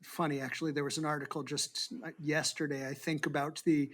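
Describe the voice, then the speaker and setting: A man's voice: fairly high pitch, flat delivery, fairly quick, a bit bright. A man from Boston hosting an interview podcast, chatting casually with musing asides. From Boston, USA. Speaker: podcast host.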